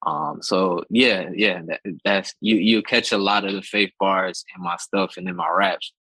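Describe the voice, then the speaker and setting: Male guest, from Connecticut, relaxed, husky low voice, dry sound. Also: measured pace, dry recording, low-quality recording. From Connecticut, USA. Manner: relaxed, easygoing, speaks plainly about his faith. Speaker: rapper guest. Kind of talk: casual interview chat.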